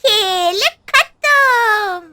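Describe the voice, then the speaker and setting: A woman's voice: very high voice, animated, drawn-out words, bright, forceful tone, no echo, heavily acted. A woman playing a scheming female ghost who longs for married life, theatrical and gloating in a fairy-tale cartoon. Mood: gloating menace. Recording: music behind the voice.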